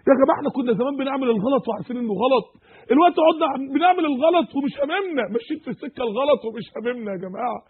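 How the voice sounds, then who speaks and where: An Egyptian man delivering an emotional, exhorting Islamic sermon in Egyptian Arabic, with repeated rhetorical questions and a husky, strained voice. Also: very high-pitched, lively intonation, very fast. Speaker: Islamic preacher. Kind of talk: impassioned sermon with Quran quotations. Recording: tinny, phone-like narrow band.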